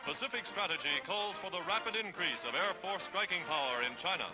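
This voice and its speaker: A man's voice: high voice, expressive, brisk, music in the background. A man with an American accent narrating a 1940s newsreel in a formal, declamatory, emphatic manner on a vintage soundtrack.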